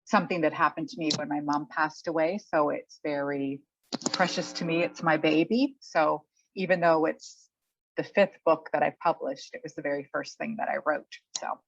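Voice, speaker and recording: A middle-aged woman chatting casually as an interviewed author, thinking aloud in a halting way. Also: deep voice, fairly even intonation, gravelly, husky. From Canada. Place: remote online call. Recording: dull, muffled lo-fi audio.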